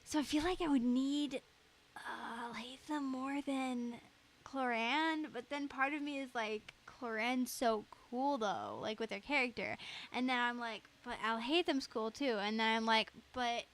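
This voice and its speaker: A woman's voice: on the high side, unhurried, a bit bright. A woman chatting casually on a game livestream in a giggly way, reading out chat as she goes. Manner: giggly, reads chat aloud. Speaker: game streamer.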